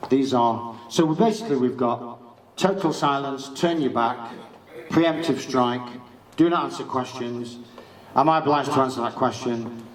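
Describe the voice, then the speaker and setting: A man's voice: medium pitch, normal speed, recorded from a distance. A middle-aged man giving an emphatic, informal talk and acting out quoted exchanges. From England. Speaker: speaker giving legal talk. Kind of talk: informal lecture. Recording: echoey room, speaker away from mic.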